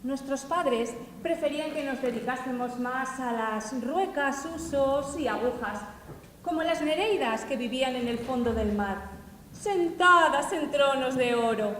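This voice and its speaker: A woman plays a young noblewoman, wistful and curious as she questions her friend. Her rather high voice rings out from a stage, caught from far off in a very reverberant hall. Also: measured pace, a bit bright, slight background noise. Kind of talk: amateur stage acting. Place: large school hall, stage. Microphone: far camera.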